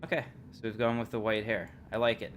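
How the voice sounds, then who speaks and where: A man hosting a livestream, casually thinking aloud as he plays, in a halting, unhurried, musing way. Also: on the low side, flat delivery, a bit bright, slight background noise. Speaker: livestream host. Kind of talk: casual live game commentary, thinking aloud.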